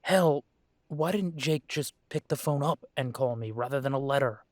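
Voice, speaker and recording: A young man playing a restless grown son coming home, halting at first and then pressing. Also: mid-range voice, dry recording, studio quality, close to an everyday voice. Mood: upset. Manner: hesitant, then pressing and insistent.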